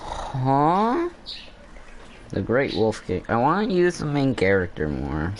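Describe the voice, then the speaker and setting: A man commentates casually on a video game. His mid-pitched voice moves up and down a lot as he rambles and thinks aloud, with slight noise behind. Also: huge pitch swings, slow pace. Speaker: gamer commentator.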